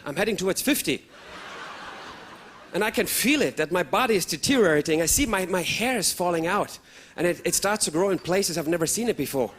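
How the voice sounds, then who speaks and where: Comedian guy in his 30s, high voice that jumps all over, bit of faint hiss. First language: German. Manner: animated, with sudden loud outbursts and put-on voices. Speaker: stand-up comedian. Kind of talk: stand-up comedy routine. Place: stage before a live audience.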